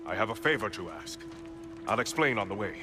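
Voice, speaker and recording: A man's voice: lowish voice, sing-song, fairly quick, music in the background. A man playing a proud, stern boar shapeshifter in human form in a video game, speaking in a blunt, formal, dry manner. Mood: businesslike. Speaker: game character, exiled advisor. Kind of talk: video game cinematic acting.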